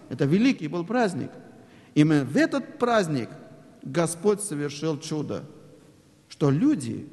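A male preacher delivers a sermon in an echoey church hall. His voice sits high and moves a great deal in pitch. He talks in an explaining way, asks rhetorical questions, and speaks Russian as a learned second language. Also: a little mellow.

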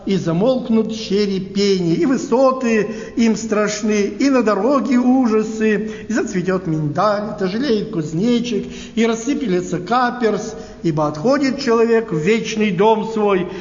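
High-voiced preacher man in an echoey hall. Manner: unhurried, halting, asks listeners rhetorical questions. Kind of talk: sermon. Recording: echoey hall, dull lo-fi sound.

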